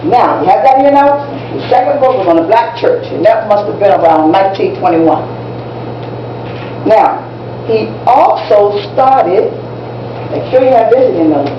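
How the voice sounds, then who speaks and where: A woman playing an excitable teacher in a stage play, emphatic and repetitive as she coaches students for a contest. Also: mid-range voice, natural voice. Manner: emphatic, repetitive, checks that listeners follow. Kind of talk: stage play dialogue, lecturing. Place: echoey room at a live public performance. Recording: echoey, distant, muffled room recording with noise.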